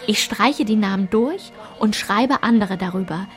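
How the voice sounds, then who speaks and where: A woman's voice: mid-range voice, unhurried, natural voice. A woman playing a solitary, observant narrator who recalls her schoolgirl self in precise, composed literary radio-play narration. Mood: matter-of-fact. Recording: clear music behind the voice.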